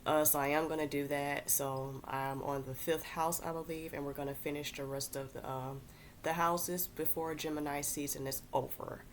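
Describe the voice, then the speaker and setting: A congested woman hosting a casual, unscripted astrology explainer, halting as she searches for words and repeats herself. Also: low voice, steady tone, a little hiss or hum. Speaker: astrology content host.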